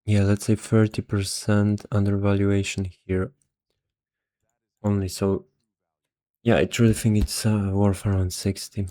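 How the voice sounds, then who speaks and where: A man's voice: fairly low pitch, little pitch movement, unhurried, pauses often, dark tone, no room sound. A man who sounds a little tired, casually thinking aloud as an investor working through valuations, hesitant and half muttering to himself. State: somewhat tired. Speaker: investor explaining stock valuation.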